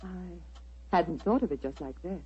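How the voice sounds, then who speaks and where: A woman acting a genteel, earnest, wealthy society lady in a 1940s radio drama, polite, measured and dramatic. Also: fairly low pitch, expressive, dark tone, breathy edge. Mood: earnest, confessional. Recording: muffled vintage 1940s radio recording.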